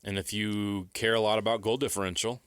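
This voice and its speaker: An American man co-hosts a sports radio show with a somewhat low, bright and forceful voice. He makes his points in short, emphatic declarations. The recording is dry and close. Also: flat delivery, fairly quick, clear, resonant tone, dry recording. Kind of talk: unscripted sports talk and analysis.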